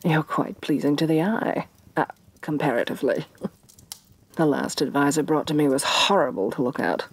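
A woman playing a haughty, domineering evil sorceress queen, soft-spoken and imperious in a fantasy ASMR roleplay. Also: sits low, moderate pace, breathy edge. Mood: smug, pleased. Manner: imperious, condescending, theatrically disdainful.

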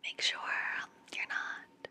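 A woman in her twenties hosting ASMR, speaking in a soft, soothing, affectionate way with intimate personal attention. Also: slow pace. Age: 20s. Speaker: ASMR podcast host. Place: small indoor room.

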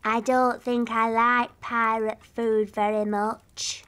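A woman playing a bossy, excitable little girl princess in a cartoon, with a childlike voice and dramatic, emphatic delivery. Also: fairly high pitch, slow pace, clean tone. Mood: earnest.

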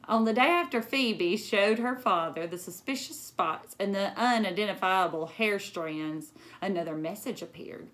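A woman's voice: medium pitch, normal speed, hardly any pauses, a bit bright, poor audio. A woman, reading a story aloud expressively to children, with chatty asides. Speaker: elementary school librarian reading aloud. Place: small lamp-lit room at home.

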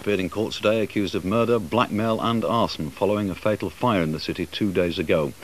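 Middle-aged male anchor, low voice, formal bulletin, faint tape hiss. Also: quick pace. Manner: formal, crisp bulletin delivery. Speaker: news anchor. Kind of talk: studio news reading. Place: TV studio. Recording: old broadcast with faint hiss.

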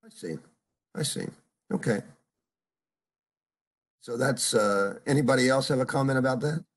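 An older man teaching an online seminar as a professor, speaking haltingly with many fillers and stopping often to put questions to his students over a video call. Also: mid-range voice. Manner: halting, full of uhs, asks students questions. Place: home room on a video call. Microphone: video call computer mic.

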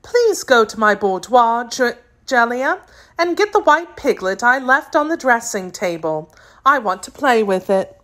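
A woman's voice: fairly high pitch, big pitch swings, measured pace, fairly bright tone, pitched above the performer's own voice. A woman voicing a youthful princess ruler in a children's storybook, formal, composed and firm. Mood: polite. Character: youthful princess ruler, polite but firmly commanding.

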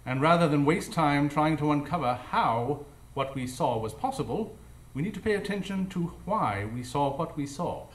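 An actor playing a brisk, analytical gentleman detective, formal and declamatory, in a live radio drama. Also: on the high side, animated, lightly acted. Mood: emphatic, confident. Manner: declamatory, formal, self-assured. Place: live stage before an audience. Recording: echoey live stage recording.

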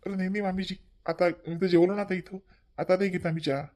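Man acting cartoon son-in-law, young, high male pitch, outspoken, protesting, repetitive, Varhadi Marathi accent. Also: fairly even intonation, quick pace, a little mellow, close to an everyday voice. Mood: polite refusal. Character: outspoken, modest young son-in-law who rejects fuss and custom. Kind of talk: amateur animated family comedy acting.

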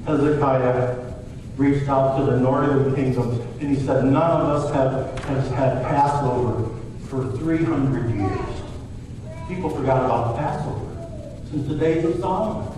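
A man's voice: mid-range voice, unhurried. A middle-aged man preaching a sermon in a church sanctuary, telling the story in a conversational way and voicing the characters he quotes. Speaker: pastor. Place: church sanctuary, large room. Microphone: far camera at back of church. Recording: distant roomy church recording with hiss.

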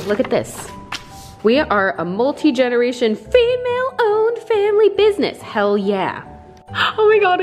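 Young female vlogger, very lively pitch, exclamatory and playful, chatty shopping-haul delivery into a handheld camera. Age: young adult. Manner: playful, exclamatory, theatrical asides. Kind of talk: casual shopping vlog. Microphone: handheld camera at arm's length.

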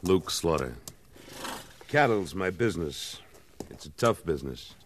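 A man's voice: deep voice, expressive, measured pace, a little hiss or hum. An actor playing a tough, laconic American cattleman in an old-time radio western, speaking in a terse, self-assured way. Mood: hard, proud. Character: tough, laconic cattleman gunslinger, a former cavalryman. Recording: old radio recording, faint music.

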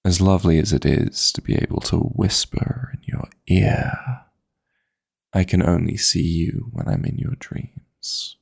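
A man voicing a possessive, flirty yet tender dream demon lover in an intimate ASMR sleep-aid roleplay, coaxing in a husky, breathy voice with formal endearments. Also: low voice, expressive, unhurried, heavily acted. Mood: teasing, flirty. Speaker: audio roleplay performer.

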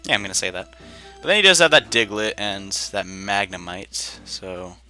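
A man's voice: lowish voice, big pitch swings, moderate pace, bright, forceful tone. A young man giving casual, rambling commentary while playing a video game, chatty and self-deprecating with plenty of fillers. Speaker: let's play commentator. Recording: game music behind voice.

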